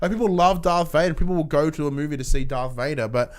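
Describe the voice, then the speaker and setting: A man's voice: on the high side, fast talker. Man chatting casually on a podcast. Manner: sweary, informal. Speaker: podcast co-host. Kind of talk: casual movie podcast chat.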